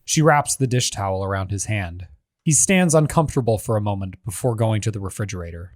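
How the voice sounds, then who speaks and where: A man's voice: on the low side, animated, fairly quick, dry recording, studio quality. A man giving scripted narration, reading a screenplay's stage directions as the narrator of a table read.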